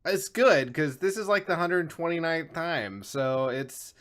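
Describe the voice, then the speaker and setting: A man's voice: fairly high pitch, animated, unhurried, fairly bright tone. A man hosting a casual, comedic gaming show, chatting in a jokey, rambling way. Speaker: show host. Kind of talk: casual comedic video game show chat.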